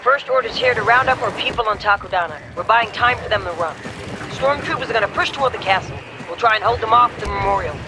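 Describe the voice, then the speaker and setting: A plain-spoken rebel squad leader from a game, determined and urgent. His voice sits very high for a man, with clipped informal phrasing over clearly noisy battle ambience. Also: lively intonation, fast talker. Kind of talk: dramatic video game briefing.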